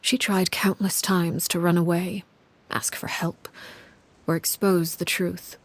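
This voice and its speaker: A woman voice actor playing a composed, cold, commanding woman who narrates a grim past in a game, in a measured, grave, articulate manner. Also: on the low side, little pitch movement. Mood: grave, restrained. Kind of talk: scripted video game story narration.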